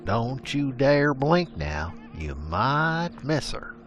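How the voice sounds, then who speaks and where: A man's voice: medium pitch, very animated, extremely slow, a bit bright, dull audio, faint music behind. A man narrating a frontier legend as a scripted story, with rustic, old-timey storyteller phrasing.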